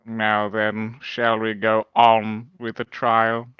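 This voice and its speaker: A man playing a formal, smug prosecutor in a fan dub of a courtroom game, speaking in a measured, deliberate way. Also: on the low side, monotone, clear, resonant tone, muffled recording. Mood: smooth, assured.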